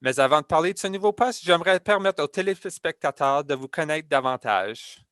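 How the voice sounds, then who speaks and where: A male TV host with a slightly higher-than-usual voice, leading a broadcast interview in a polished, friendly way. His voice sounds close and dry, with no room echo. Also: animated, fairly quick, a bit bright, clean tone. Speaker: TV program host.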